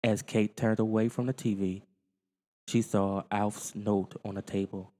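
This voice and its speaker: A man narrating a children's storybook in a theatrical, deliberate way. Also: fairly low pitch, little pitch movement, dark tone. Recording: long reverb, music underneath. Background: music.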